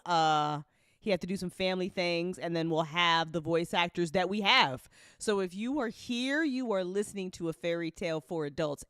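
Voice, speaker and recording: A woman hosting a casual livestream, effusive and excitable, repeating phrases and swearing freely. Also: lowish voice, clean tone, dry recording, clean studio sound. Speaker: host and voice actor.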